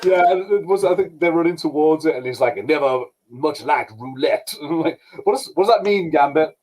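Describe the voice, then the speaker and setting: Higher-pitched man, animated and jokey. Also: moderate pace. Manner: animated, jokey, sweary, slips into mocking mimicry. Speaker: podcast co-host. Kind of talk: casual pop-culture panel chat.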